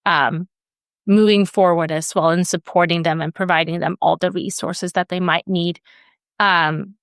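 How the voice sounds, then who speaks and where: A woman from Sweden talks through her answers slowly and hesitantly. She keeps stopping and restarting. Her voice sits a little low and sounds smooth and resonant. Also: fairly even intonation, no room sound, clean studio sound. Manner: hesitant, many fillers and restarts. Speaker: HR guest. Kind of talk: conversational interview answers.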